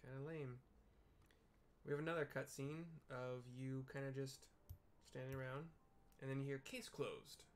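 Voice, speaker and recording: A man's voice: mid-range voice, little pitch movement, normal speed, long pauses, slight background noise. A middle-aged man casually narrating a game's story aloud as he streams from a room at home. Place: small room at home, indoors.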